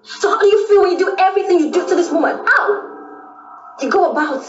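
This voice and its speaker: Sassy Nigerian side chick, young and bold, dull sound with music underneath. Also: female voice, extremely high pitch, a little fast. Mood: indignant, scolding. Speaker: actor. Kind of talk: Nollywood drama acting. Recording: dull echoey audio, music behind.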